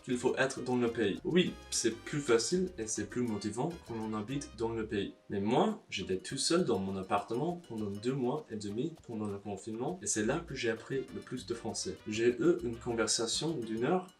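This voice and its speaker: A young man with a foreign accent talks straight to camera, blunt and wry, with casual swearing. He sits a little back from the mic. Soft guitar music plays underneath. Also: mid-range voice, expressive, normal speed, few pauses, distant mic. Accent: foreign, non-native French. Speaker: language-learning vlogger. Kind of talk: casual advice monologue to camera.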